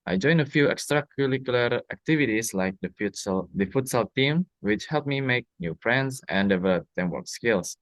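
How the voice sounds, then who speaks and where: A man's voice: medium pitch, animated, moderate pace, hardly any pauses, a bit bright, no echo. A young man, a university student with an Indonesian accent, giving prepared answers in a spoken question-and-answer exercise. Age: young adult.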